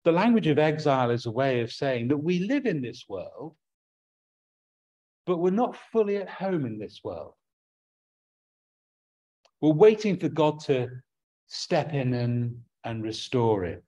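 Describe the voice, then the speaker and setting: A man's voice: mid-range voice, very animated, measured pace, long pauses, warm tone. A middle-aged man with an English accent preaching a sermon from a small room at home, earnest and prone to restarting his words.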